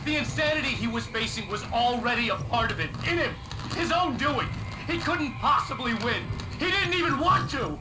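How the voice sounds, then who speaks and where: An actor playing a writer's deranged dark double, narrating doom in a feverish, fatalistic, theatrical way in a video game. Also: male voice, very high-pitched, lively intonation, normal speed. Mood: bleak, despairing. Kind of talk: overwrought third-person doom narration. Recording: roomy echo, loud music behind.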